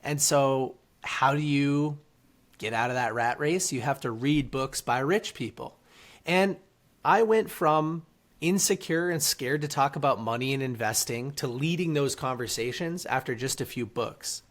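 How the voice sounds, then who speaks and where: A man's voice: medium pitch, a little slow. A man speaking as a podcast guest, telling his story in an earnest, motivational way. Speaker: guest, author and entrepreneur. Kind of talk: casual interview storytelling.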